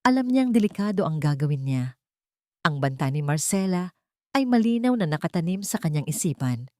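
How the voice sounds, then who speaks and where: Female storyteller, lowish register, lively swings in intonation, suspenseful dramatic narration in a dry booth sound. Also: moderate pace, no room sound.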